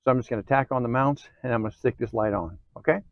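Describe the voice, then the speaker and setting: A man's voice: medium pitch, fast, lo-fi sound. A man narrating a hands-on how-to in a casual, folksy way, often checking in with short informal asides. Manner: folksy, informal, frequent check-ins like okay and cool. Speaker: host of an off-road DIY channel.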